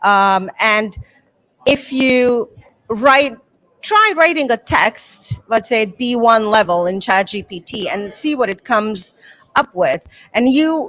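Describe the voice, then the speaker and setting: A woman's voice: on the high side, fairly bright tone, low-quality recording. Earnest middle-aged woman from the Balkans. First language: Serbo-Croatian. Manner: earnest, reflective, with fillers. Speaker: materials writer and incoming association president. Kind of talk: conference interview.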